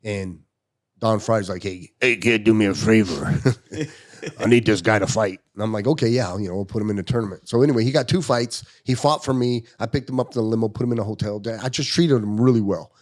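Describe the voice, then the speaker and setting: A man's voice: fairly low pitch, a little fast. A man in his fifties, a podcast guest telling stories in casual chat and acting out the quotes. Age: 50s. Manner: animated storyteller, acts out quotes.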